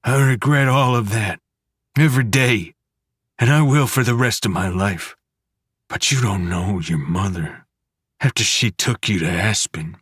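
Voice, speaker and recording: A man voicing a tearful, remorseful aging father who pleads in halting bursts. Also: sits low, a bit bright, breathy edge, no echo, studio quality, full character voice. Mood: pleading.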